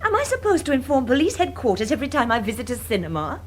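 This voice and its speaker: A woman's voice: high voice, very animated, quick pace, slight background noise. A woman acting in a radio drama as a defiant, guarded young Englishwoman with a clipped, evasive manner.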